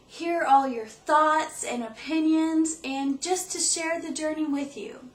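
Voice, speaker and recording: High, slow-speaking young woman in an echoey room. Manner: eager and upbeat. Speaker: graduate assistant. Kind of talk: self-introduction for an online course. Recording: echoey room, distant mic.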